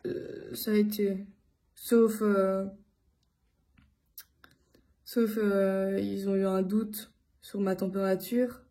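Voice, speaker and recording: A woman from Belgium giving a casual personal account to her followers, speaking in a halting way with many filled pauses. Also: mid-range voice, warm tone. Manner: hesitant, halting delivery with frequent long filled pauses. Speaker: vlogger addressing followers.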